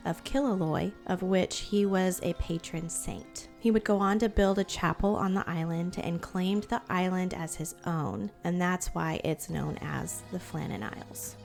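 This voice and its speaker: A young woman hosting a casual mystery podcast, a bit hoarse from losing her voice, chatty and quick to giggle. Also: lowish voice, a little mellow, faint music behind. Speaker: podcast host. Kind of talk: casual storytelling chat.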